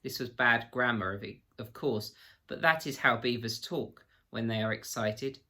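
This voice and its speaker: A man reads a children's story aloud with measured expression. His voice is bright and forceful at a middling pitch, in the manner of a teacher reading to pupils.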